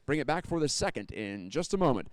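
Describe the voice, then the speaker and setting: A man's voice: medium pitch, big pitch swings, fast, dry recording, clean studio sound. A man with an American accent calling live hockey play-by-play, fluent and descriptive, lifting his energy with the action. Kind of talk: live sports commentary.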